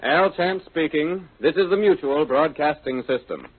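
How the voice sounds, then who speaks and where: A man announcing in formal, measured, scripted style on an old-time radio broadcast. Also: a little high, narrow, tinny audio. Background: music.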